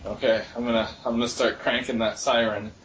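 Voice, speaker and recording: A man's voice: mid-range voice, flat delivery, brisk, fairly bright tone, lo-fi sound, a little hiss or hum. Distant-mic man playing a tabletop game. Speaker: player.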